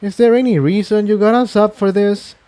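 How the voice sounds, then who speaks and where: Guy doing a nervous girl pony from an adventure story, pitched way up, kinda worried and wary. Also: steady tone, fairly quick, dark tone, natural voice. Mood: groggy, questioning. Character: anxious female pony Incendia, wary and uneasy.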